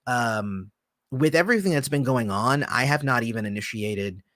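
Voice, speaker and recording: Man, livestream host, casual rambling chat, trails off quietly, dry close sound. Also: mid-range voice, normal speed, dry recording. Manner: rambling, relaxed, frequent fillers, trails off softly. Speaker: channel host. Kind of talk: casual livestream chat reading viewer comments.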